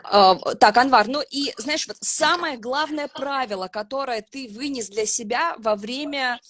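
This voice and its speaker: A woman's voice: high-pitched, a little slow, fairly bright tone. A woman hosting a casual online interview, asking questions in a chatty, friendly way. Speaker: interview host.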